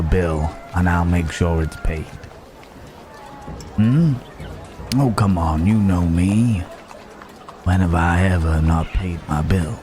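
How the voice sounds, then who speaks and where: A man playing a roguish, wry but protective Wild West cowboy in an ASMR roleplay, with a halting, teasing drawl. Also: deep voice. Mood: courteous, teasing. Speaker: audio roleplay voice actor. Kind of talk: ASMR roleplay acting, addressed to the listener.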